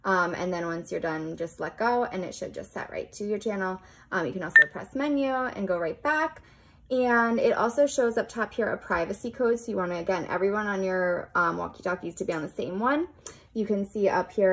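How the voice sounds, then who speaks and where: A woman giving a casual, chatty product review, explaining features as she goes in an informal unboxing style. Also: mid-range voice.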